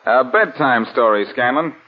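A man's voice: medium pitch, normal speed, close to an everyday voice. An actor playing a cool, wisecracking male adventurer in a dry, flippant 1940s radio style. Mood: cool, guarded. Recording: muffled old-time radio recording.

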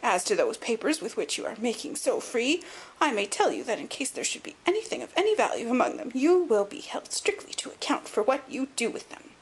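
Miserly old nobleman played by a female narrator, high and crackly, querulous, indignant, swooping storybook delivery. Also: very animated, slightly rough, full character voice. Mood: indignant, stingy. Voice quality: sharp crackle. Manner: quick, intense, indignant. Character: querulous, miserly little old lord, sharp and twitchy. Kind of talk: audiobook character acting.

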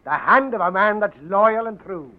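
An actor playing a wheedling, boastful little Irish drinking crony in a theatrical 1940s radio style. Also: male voice, sits high, moderate pace. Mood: fawning, grandiose. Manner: blustering, flattering, theatrical. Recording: muffled, noisy 1940s radio broadcast.

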